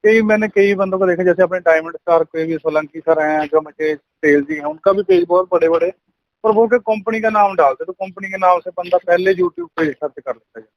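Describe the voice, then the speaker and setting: A man's voice: high-pitched, lively intonation, a little fast, no echo. A young man from Punjab in India in a polite, deferential phone conversation, often addressing the caller as sir. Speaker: part-time network marketer being coached. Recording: dull lo-fi phone call, dry.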